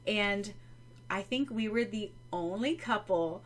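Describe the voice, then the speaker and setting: A woman's voice: mid-range voice, slow pace. A woman from the United States co-hosting a podcast, chatting casually and reading out comments in an effusive, giggly manner. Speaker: podcast co-host.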